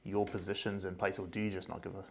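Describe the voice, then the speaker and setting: A man hosting a podcast, prompting his guest with quick yeahs and probing questions. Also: low voice, little pitch movement, vocal fry. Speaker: podcast host. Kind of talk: casual interview chat.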